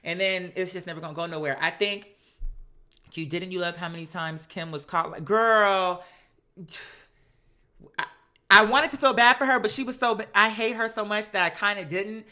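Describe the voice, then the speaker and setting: A man's voice: high voice, normal speed, pushed and bright. An American man hosting a livestream, chatting casually and giving opinions in an emphatic, slangy, conversational way. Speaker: livestream host. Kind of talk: casual opinionated chat to live viewers.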